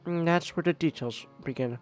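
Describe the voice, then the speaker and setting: A man reading aloud plays a soft-spoken young woman who is blind. She stays polite and measured, speaking in a gentle, courteous way. The voice sits a little high, and quiet music plays underneath. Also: close to an everyday voice. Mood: calm.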